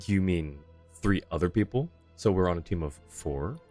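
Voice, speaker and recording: A man's voice: sits low, animated, normal speed, a little mellow, faint music behind. A man doing casual live game commentary as a streamer, playful and mock-dramatic as he chats to viewers.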